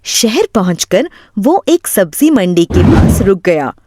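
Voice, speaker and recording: Female narrator for moral storybook tales, slow scripted read, medium pitch, clear background noise. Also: very animated.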